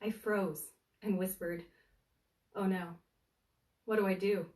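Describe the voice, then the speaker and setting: A woman reads a humorous story aloud as a storyteller, in a deliberate, dramatic way with suspenseful pauses. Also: mid-range voice, steady tone, a little mellow. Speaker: bookseller storyteller reading aloud. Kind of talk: animated bedtime story reading of a humorous book. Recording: roomy, echoey, distant from the mic.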